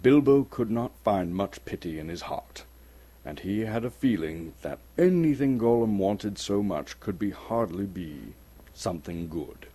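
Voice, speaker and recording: A man's voice: fairly low pitch, lively intonation, a little slow, mellow. A man from Canada gives a dramatic narration of a storybook, theatrical and suspenseful, lingering on key words. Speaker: actor reading as narrator.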